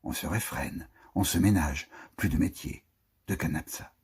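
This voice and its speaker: A man reading poetry aloud in a theatrical, expressive way, declaiming with dramatic swells and hushed, breathy phrase endings. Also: low voice, a little slow. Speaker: poetry reader.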